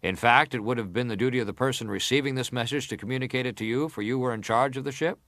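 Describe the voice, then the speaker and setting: A man acting a middle-aged senator in a dramatised hearing, putting probing, formal questions in a deliberate, pressing way. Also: mid-range voice, a bit bright, dry recording, clean studio sound, close to an everyday voice. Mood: probing, insistent. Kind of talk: dramatised reading of hearing interrogation.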